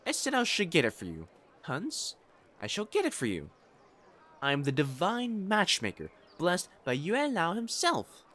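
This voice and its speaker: An actor playing a proud, secretly smitten young noble matchmaker in a romantic audio drama, with haughty formal diction that turns to stammering when flustered. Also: male voice, mid-range voice, pushed and bright, exaggerated voice. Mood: flustered, defensive. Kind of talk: acted romantic audio drama monologue to the listener.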